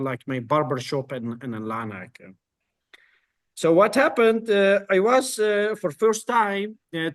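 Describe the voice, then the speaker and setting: Slow, hesitant Kurdish-born man. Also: fairly high pitch. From Kurdistan, northern Iraq. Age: 20s. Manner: halting, many fillers and restarts. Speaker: guest. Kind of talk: casual interview talk.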